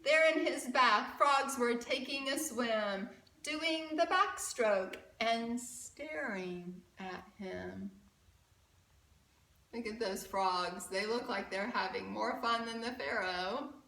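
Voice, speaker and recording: A woman storyteller reading a picture book aloud to children, expressive and deliberate, often putting questions to her listeners. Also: on the high side, creaky. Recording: roomy echo, distant mic.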